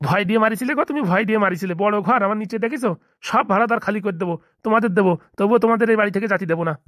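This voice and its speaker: A performer doing a fussing middle-aged cartoon parent, kindly, extremely rapid chatter, Bengali accent, dry recording. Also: mid-range voice, a bit bright, no room sound, natural voice. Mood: alarmed. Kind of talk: Bengali comedy cartoon dialogue.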